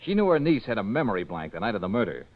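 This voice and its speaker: Fast-talking American radio detective. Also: male voice, lowish voice, very animated. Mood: wry, confident. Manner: casual, teasing, slangy banter. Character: breezy, cocky male private detective, quick-witted. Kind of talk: 1940s radio drama acting. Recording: dull 1940s radio recording.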